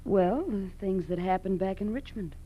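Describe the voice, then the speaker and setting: An actress playing a ruthless, scheming wife of a car thief in a 1940s radio drama, speaking deliberately and with command. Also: female voice, medium pitch, dull audio, natural voice. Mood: accusing. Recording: noisy 1940s radio broadcast recording.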